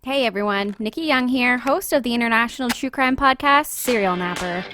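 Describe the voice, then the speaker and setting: A woman's voice: a little high, a little fast, pushed and bright, no echo. A woman podcast host reading a scripted promo for her show. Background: music bed.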